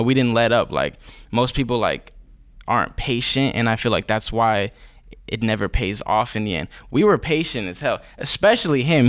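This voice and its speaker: Laid-back young man, drawling guest. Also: medium pitch. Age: young adult. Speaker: guest rapper. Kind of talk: casual interview chat. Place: podcast studio. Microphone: studio mic on boom arm.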